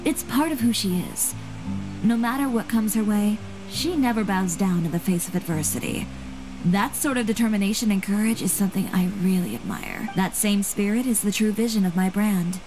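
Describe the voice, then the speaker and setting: A woman acting a poised young fashion designer from a video game. She is self-assured and articulate, with a composed, matter-of-fact delivery over clearly audible music. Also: medium pitch, animated, normal speed, close to an everyday voice, poor audio. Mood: composed, admiring.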